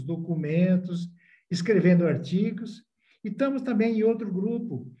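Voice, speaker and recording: A man's voice: high voice, mellow, muffled recording. A man in his sixties, born in Italy with Italian as his first language, speaking formally as a podcast guest. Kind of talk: podcast interview.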